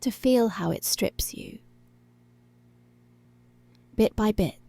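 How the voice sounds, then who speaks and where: A woman playing a dominant, seductive policewoman in an intimate ASMR roleplay, giving slow, deliberate, breathy commands that often drop to a whisper. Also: medium pitch, warm tone, no room sound. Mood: commanding, menacing. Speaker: ASMR voice actor.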